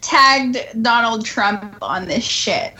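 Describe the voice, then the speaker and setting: A woman co-hosting a podcast in casual chat, excitable, laughing and swearing a lot. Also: fairly high pitch, slow, heavy background noise. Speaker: podcast co-host.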